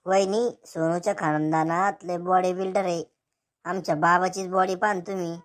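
A woman's voice: on the low side, fairly even intonation, normal speed, lightly acted. A woman voicing a cheeky, mocking young male wedding photographer in a cartoon comedy, bossy and sarcastic, with a rural Maharashtrian accent.

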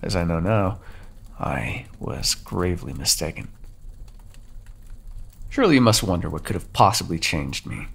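A brooding male vampire, acted out by a man, speaks in a deep, husky voice with a gravelly creak. The delivery is theatrical yet intimate, in the style of ASMR roleplay. Each phrase is measured, remorseful and quietly menacing. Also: lively intonation, heavily acted. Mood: brooding, confessional. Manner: slow, deliberate, theatrical, intimate. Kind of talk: ASMR roleplay monologue, scripted dramatic narration.

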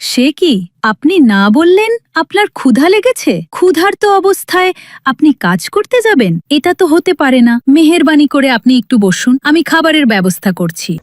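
A woman's voice: sits high, sing-song, a little fast, no room sound, studio quality. A woman playing a patient, devout wife and mother in a cartoon, speaking earnestly and reassuringly. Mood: concerned. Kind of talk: cartoon voice acting.

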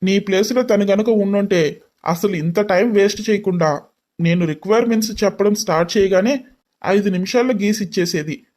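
A man plays a young businessman in an audio story. He is irritable, scolding and quick to taunt. His speech is sprinkled with English words. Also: high-pitched, a little mellow, lightly acted. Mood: irritated. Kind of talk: dramatised story dialogue.

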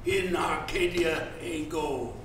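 Grand, high-voiced older gentleman, very echoey. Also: slow. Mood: rapturous, grand. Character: grand, cultured mansion owner rapturous over art. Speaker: actor. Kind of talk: dramatic stage reading. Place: theatre stage. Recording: very echoey stage, distant, hissy ambience.

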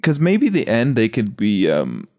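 A man casually brainstorming as a sketch comedy podcaster, halting as he thinks aloud. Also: fairly low pitch, wildly swooping pitch, vocal fry, a little mellow.

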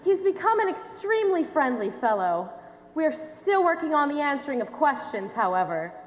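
A young woman playing an earnest scientist in a stage play, giving a formal presentation with projected, deliberate delivery. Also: high voice, big pitch swings. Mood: formal, proud. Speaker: stage actor in a high school one-act play. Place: on stage in a large dark auditorium. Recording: echoey theater hall, long reverb.

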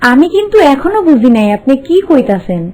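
A woman's voice: sits high, very animated, fairly quick, darkish tone. A woman voicing a humble, puzzled young servant girl in a cartoon, with a colloquial Bangladeshi accent and plain questioning speech. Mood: puzzled, curious. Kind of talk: scripted cartoon dialogue. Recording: music bed under the voice.

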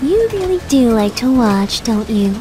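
A woman's voice: on the high side, sing-song, measured pace, mellow. A woman playing a confident, playful young female engineer in a voiced visual novel game. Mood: teasing. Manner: playful, self-assured.

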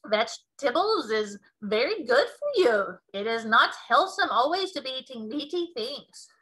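A woman's voice: fairly high pitch, sing-song, measured pace, a bit bright, low-quality recording. A woman voicing a kindly, earnest male giant with emphatic, dignified speech in a storybook read-aloud. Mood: passionate coaxing.

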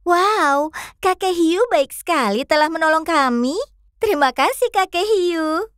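A woman's voice: extremely high pitch, expressive, a little slow, a bit bright, no room sound, clean studio sound, full character voice. A woman voicing a cheerful, curious little fox in a children's cartoon, playfully addressing young viewers. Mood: bright, excited. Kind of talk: children's cartoon narration and dialogue.

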